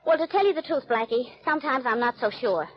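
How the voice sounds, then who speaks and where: A muffled old radio recording with faint hiss holds a spirited 1940s serial girlfriend. Her voice is fairly high and quick, mixing worry with dry wit. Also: expressive. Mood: worried. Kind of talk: 1940s radio detective acting.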